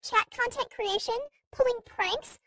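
Woman through a squeaky high voice-changer filter. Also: measured pace, vocal fry, crowd noise, exaggerated voice. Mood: chirpy demo. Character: chirpy cartoon minion and young college girl. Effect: pitched-up voice changer filter. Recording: distant, noisy processed audio.

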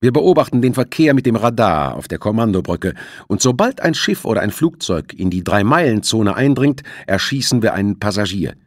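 Audiobook villain: man acting a male ship hijacker, menacing, deliberate, husky, animated pitch. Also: dry recording, studio quality. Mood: cold threatening. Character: determined male hijacker commanding a seized ship.

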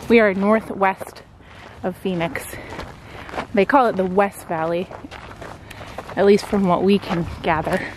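A woman's voice: medium pitch, fairly even intonation, a little slow, long pauses. A woman in her thirties talking cheerfully to a handheld camera on a desert hiking trail, chatting directly to her viewers. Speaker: travel vlogger. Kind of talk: casual vlog talking to camera on a hike. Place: outdoors on a desert mountain trail. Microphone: handheld camera at arm's length. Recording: outdoor recording with clear background noise.

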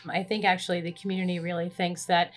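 A woman hosting a hospital podcast in a studio, asking brisk, friendly questions into a desk microphone. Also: lowish voice, level tone. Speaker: podcast host. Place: small studio set with plant and armchairs. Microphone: desk podcast microphone on arm.

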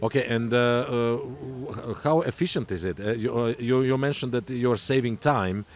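A middle-aged man hosting a conversational podcast interview, with halting phrasing and repeated words. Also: mid-range voice. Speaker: podcast host. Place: outdoor pop-up podcast booth at a conference. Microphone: boom-arm podcast microphone, close. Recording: outdoor event ambience behind voice. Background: outdoor conference ambience.

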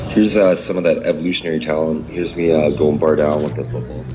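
A middle-aged man on his phone channel, halting and full of fillers, rambling and half-chanting lyrics he reads out. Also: a bass voice, flat delivery, highs cut off, music in the background. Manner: halting, many fillers and restarts. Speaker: channel owner. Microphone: phone mic. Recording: noisy phone recording.

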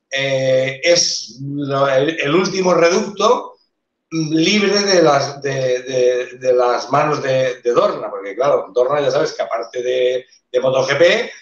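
A man on a video call explaining motorcycle engineering in a live debate, with many pauses and restarts. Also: mid-range voice. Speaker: engineer panelist. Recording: roomy, dull video call audio.

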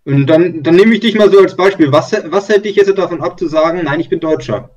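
A man pushes a point in a loose online discussion, full of filler words. His voice sits a little high and sounds distant and muffled. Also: a little fast, darkish tone. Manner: probing, lots of filler words. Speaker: discussion participant. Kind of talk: casual group chat. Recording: distant, muffled call audio.

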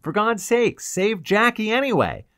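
A man voicing a desperate, excitable young captive with strained, urgent outbursts in a pulp science fiction audiobook. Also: sits high, a little slow, no echo, studio quality, pitched above the performer's own voice, exaggerated voice. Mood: pleading, urgent.

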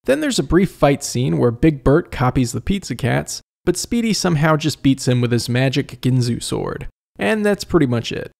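Man narrating a review, creaky voice. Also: a little high, sing-song, normal speed, darkish tone, soft background music.

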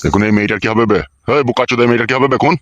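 Man playing a fast, bullying village elder. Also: medium pitch, muffled recording, natural voice. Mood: angry. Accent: rural West Bengali. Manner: bullying, commanding, rapid. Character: stern, foul-mouthed village elder judging a dispute. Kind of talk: cartoon dialogue.

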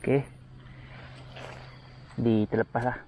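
Chatty fishing vlogger on a riverbank. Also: male voice, medium pitch, takes it slowly, gravelly, a little hiss or hum, low-quality recording. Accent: Kelantanese Malay. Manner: chatty, excited exclamations while reeling fish. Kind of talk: casual fishing vlog commentary. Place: outdoors on a grassy riverbank. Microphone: chest- or head-mounted action camera.

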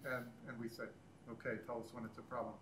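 A man speaking off the cuff as a panelist, halting and often correcting himself. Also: mid-range voice, level tone, fairly bright tone. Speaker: panelist, standards group member. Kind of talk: unscripted panel discussion. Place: conference room panel before seated audience. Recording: echoey room, faint background noise.

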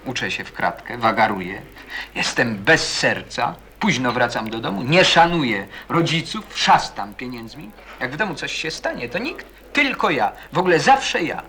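A man's voice: medium pitch, sing-song, normal speed, bright, forceful tone. A man playing a bitter, defensive teenage schoolboy in a radio play, defiant, sarcastic and colloquial. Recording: busy chatter of people behind. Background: crowd chatter, as in a café.

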